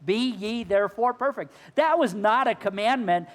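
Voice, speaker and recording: A male minister preaching a sermon, his voice slightly hoarse, asking the congregation earnest questions. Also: high-pitched, moderate pace, in a large hall. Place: church sanctuary.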